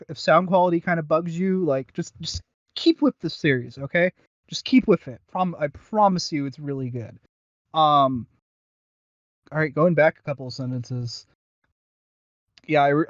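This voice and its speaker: A man co-hosting a podcast in casual chat, halting and self-deprecating, often losing his thread as he reviews. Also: fairly high pitch, dry recording, highs cut off. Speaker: podcast co-host. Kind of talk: casual chat, reviewing audio roleplay series.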